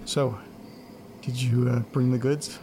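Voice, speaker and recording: A man acting in a naturalistic audio drama as a laid-back, sleepy, awkwardly friendly guy with a gravelly, husky voice. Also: medium pitch, level tone, slow pace, dark tone, slight background noise, natural voice. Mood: casual, curious. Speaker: lead actor in horror audio drama.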